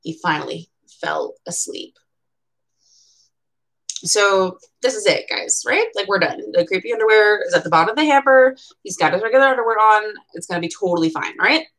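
Young female storytime reader: playful, dramatic, very lively intonation, medium pitch, chatty. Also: moderate pace, low-quality recording. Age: young adult. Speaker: bookseller reading at storytime. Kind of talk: expressive children's picture-book read-aloud with chatty asides. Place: small room at home.

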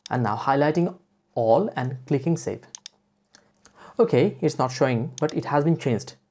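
Female tutorial presenter for screen-recording voice-over; very deep pitch, slightly husky, mellow. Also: lively intonation, normal speed. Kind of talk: software tutorial narration.

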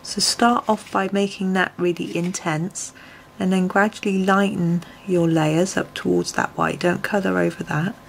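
A woman with a lowish, slightly croaky voice talks through her colouring step by step. She speaks softly, often sinking to a near whisper as she works. A faint hiss of room ambience sits behind her. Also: fairly even intonation, normal speed, low-quality recording. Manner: soft-spoken, often drops to a near whisper. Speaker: colouring tutor. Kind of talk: relaxed step-by-step tutorial. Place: home desk, filmed from above.

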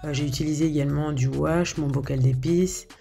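A woman narrating her home cooking in a relaxed, halting way, stopping often to fill gaps and restart her sentences. Her voice is low-key and mellow with a gravelly fry at the ends of phrases. Faint music plays underneath. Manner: hesitant, many filler sounds and restarts. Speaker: home cook showing her recipes. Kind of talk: casual voice-over for a cooking video.

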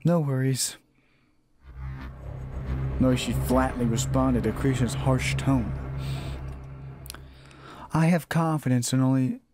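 A man's voice: mid-range voice, takes it slowly, pauses often. A man reading a horror visual novel aloud as a let's player, often restarting and repeating phrases as he narrates. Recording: music behind the voice. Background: game music.

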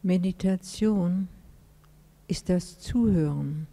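A woman leading a guided meditation as a teacher, speaking in measured phrases with pauses. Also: on the low side, dark tone. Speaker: meditation teacher, Buddhist nun.

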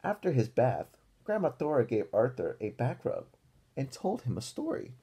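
A young man reading a picture book aloud to children as a teacher, pausing for encouraging asides and questions. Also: mid-range voice, huge pitch swings, warm tone, slightly rough. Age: young adult. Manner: encouraging, asks listeners questions. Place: small room at home, indoors.

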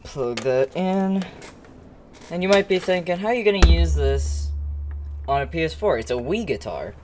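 A man's voice: fairly high pitch, expressive, moderate pace, noisy background. A young man giving casual, informal gameplay commentary in a rambling way, recorded in a small room at home. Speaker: gaming video creator.

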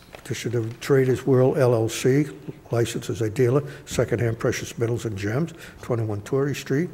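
A man reading agenda items aloud at a city council meeting, in a steady, measured recitation at a desk microphone in a wood-paneled chamber. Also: mid-range voice. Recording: reverberant chamber with faint hiss.

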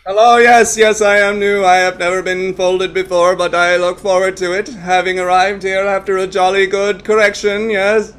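A man's voice: sits high, flat delivery, moderate pace, few pauses, a bit bright, clean tone. A man playing an eager, naive alien posing as a human in a comedy sketch, pompous and over-formal, often muddling his words. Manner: stiff over-formal wording, muddles words and corrects self. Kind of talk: comedy audio sketch acting.

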